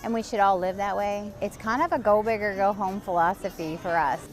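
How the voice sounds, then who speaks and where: A woman's voice: medium pitch, brisk, a little hiss or hum. A woman talking casually in an interview. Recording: music behind the voice.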